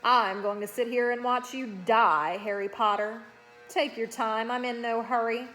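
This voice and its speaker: A woman's voice: fairly high pitch, steady tone, unhurried, fairly bright tone, faint music behind. A woman voicing a menacing teenage male villain in a children's fantasy storybook, taunting, cold and self-satisfied. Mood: cold gloating.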